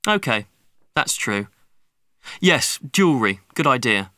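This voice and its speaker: A woman's voice: extremely low pitch, sing-song, takes it slowly, pushed and bright, no room sound, studio quality. A woman in a paired speaking-task discussion, agreeable and offering suggestions.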